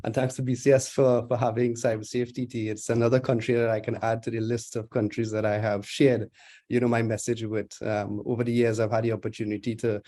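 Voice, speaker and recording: Trinidadian man with a mellow voice. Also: mid-range voice, level tone, fairly quick. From Trinidad and Tobago. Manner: conversational, with frequent fillers. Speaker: guest speaker, digital anthropologist. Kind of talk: webinar presentation. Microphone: video call.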